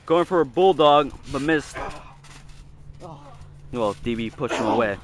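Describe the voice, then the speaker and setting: A young man giving live play-by-play of amateur wrestling in short clipped calls, with excited outbursts at big moves. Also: medium pitch, a little slow. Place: outdoors in a backyard beside a trampoline. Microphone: handheld camera mic. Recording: noisy handheld outdoor camera audio.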